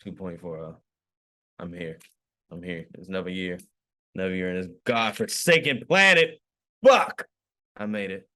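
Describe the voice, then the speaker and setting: 24 yr old dude on a podcast, bright sharp voice, lowish pitch, laughs a lot. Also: male voice, moderate pace, long pauses. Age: 20s. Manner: loud laughing, swears, mumbles off. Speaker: podcast co-host. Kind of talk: casual podcast banter.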